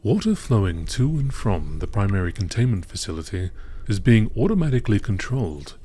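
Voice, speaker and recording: A man reading scripted science-fiction lore entries as a narrator, in a measured, formal nature documentary style. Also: fairly low pitch, very animated, warm tone, slightly rough.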